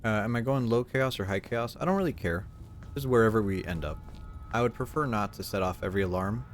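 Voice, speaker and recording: Streamer: man with a Mexican accent, sarcastic and self-mocking, low-ish voice. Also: a little fast, a little hiss or hum. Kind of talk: casual gameplay commentary.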